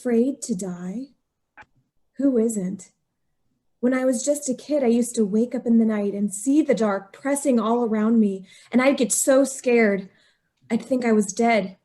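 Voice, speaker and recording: An actress playing a young bride driven to murder, speaking with breathless anguish in an old-time radio drama. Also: female voice, a little high, unhurried, dark tone, close to an everyday voice. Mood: desperate.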